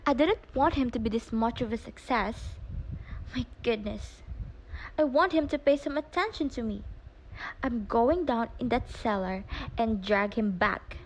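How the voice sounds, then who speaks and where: A woman's voice: on the high side, lively intonation, measured pace, slight background noise, poor audio. A woman playing a pouty, attention-seeking schoolgirl in an amateur radio play. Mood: indignant.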